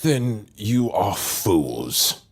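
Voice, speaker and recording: A man's voice: on the low side, very animated, drawn-out words, exaggerated voice. An actor playing a menacing, sardonic demon in a possessed man's body in an audio horror drama. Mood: scornful. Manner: sardonic, taunting, theatrical. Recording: music under the voice.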